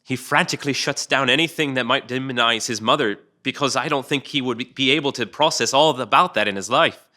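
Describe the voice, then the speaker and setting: Weary sardonic male cop, man acting; crisp, tense medium-pitched delivery, persuasive and sweary, radio drama. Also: a little fast, sharp tone, natural voice. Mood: grave, earnest. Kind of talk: dramatic table read acting.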